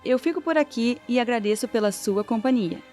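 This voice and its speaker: Woman presenting in a measured read. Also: on the high side, darkish tone, soft background music. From Brazil. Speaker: podcast presenter. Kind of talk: scripted narration.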